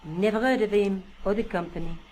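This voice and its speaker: A woman's voice: mid-range voice, a little fast, darkish tone, close to an everyday voice. An actress playing a confident, teasing young woman with a dry, knowing manner in an adventure game. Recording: faintly noisy game audio capture.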